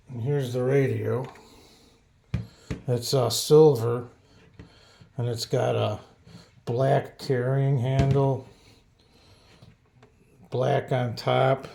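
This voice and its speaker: A man giving a casual, unscripted product review in a halting, offhand, plain-spoken way. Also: medium pitch, creaky, warm tone, a little hiss or hum.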